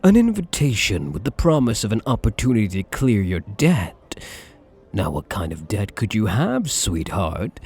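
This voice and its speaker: A man playing a suave, possessive gambler in an intimate ASMR roleplay, flirtatious and quietly menacing, with a breathy voice and teasing, drawled pet names. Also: lowish voice, full character voice. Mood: curious, probing.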